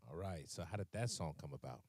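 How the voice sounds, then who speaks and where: Low-voiced man hosting a casual interview. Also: expressive, fast, darkish tone, husky, dry recording. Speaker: music show host, interviewer.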